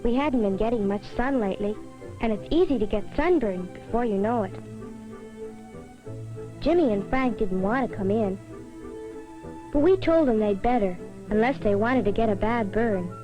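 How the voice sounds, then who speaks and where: A sensible, earnest schoolgirl, played by a woman, narrates an old-style educational story. Her voice is fairly high, with faint music underneath. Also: normal speed, long pauses, mellow, lightly acted, low-quality recording. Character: sensible schoolgirl recounting a summer lake trip. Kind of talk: scripted educational film narration.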